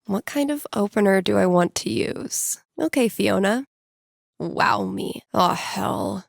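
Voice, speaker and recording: A woman's voice: mid-range voice, animated, slow pace, creaky, no echo, exaggerated voice. A woman playing a wry, playful young American radio DJ in a video game, speaking casually with dry, sardonic, self-deprecating asides. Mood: regretful. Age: young adult.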